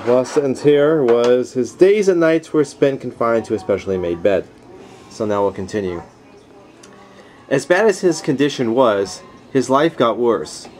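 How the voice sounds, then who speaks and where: Male reader, casual and unpolished, mid-pitched, narrating nonfiction with offhand asides on a home camera, faint noise behind. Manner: casual, easygoing, digressing into asides. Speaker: author reading own book aloud. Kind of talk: informal read-aloud of a nonfiction book with casual asides. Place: at home, household around. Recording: noisy home camera recording.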